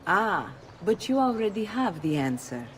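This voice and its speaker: A woman's voice: mid-range voice, big pitch swings, measured pace, a little hiss or hum. A woman voicing a poised, shrewd mentor and madam with an Italian accent. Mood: instructive. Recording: long reverb, faint music.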